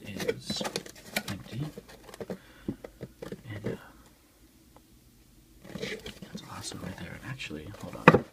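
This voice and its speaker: A man softly whispering an informal, unhurried ASMR unboxing in a breathy voice. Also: low-pitched, lively intonation, heavy background noise. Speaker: ASMR video creator. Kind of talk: ASMR unboxing, softly narrated show-and-tell.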